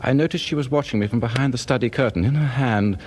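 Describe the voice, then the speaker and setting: A man's voice: medium pitch, quick pace, a little mellow, a little hiss or hum, natural voice. A British man playing an anxious, suspicious professor who narrates a radio drama in a formal, brooding way. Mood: suspicious, uneasy. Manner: formal, brooding, swinging in emphasis. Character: anxious, suspicious professor narrating his own unravelling marriage. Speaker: narrator and lead actor.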